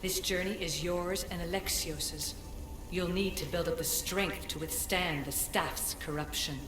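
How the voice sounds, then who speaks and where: A woman playing an ancient, solemn spirit guide in a video game, speaking in a measured, formal and cryptic way. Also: lowish voice, steady tone, noisy background, close to an everyday voice. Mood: calm, aloof. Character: ancient female Isu spirit in a staff, solemn commanding guide. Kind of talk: scripted game cutscene acting. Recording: echoey hall reverb over music.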